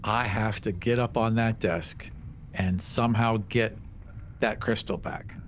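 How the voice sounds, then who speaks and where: A man's voice: lowish voice, steady tone, unhurried, a little hiss or hum. A man playing a tabletop game, chatting casually and thinking his moves through aloud. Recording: music underneath. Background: background music.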